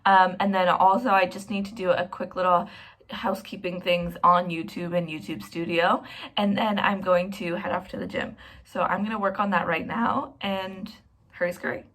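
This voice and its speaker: A woman's voice: on the low side, fairly even intonation, moderate pace, fairly bright tone. A young woman from Canada talks straight to her viewers in a loose, rambling vlog. She pokes fun at herself as she goes, in an easygoing, conversational voice. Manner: chatty and rambling, self-mocking. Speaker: vlogger. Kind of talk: casual vlog talk to camera.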